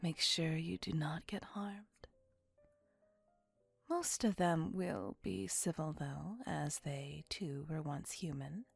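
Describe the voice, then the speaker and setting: A woman plays an ancient, courtly vampire lady in an intimate ASMR roleplay. Her voice is soft, breathy and edged with creak, half whispering. She takes her time over formal, old-world phrasing, refined and quietly possessive. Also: sits low, no room sound, faint music behind, exaggerated voice. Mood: refined, reassuring. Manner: formal, archaic, measured phrasing with tag questions. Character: elegant, courtly, possessive centuries-old female vampire on a date.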